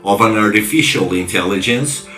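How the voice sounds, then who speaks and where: A man playing a world-weary, probing ex-police investigator in an English-language cyberpunk audio drama, speaking deliberately in an echoey recording. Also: on the low side, bright, forceful tone. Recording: echoey voice over music.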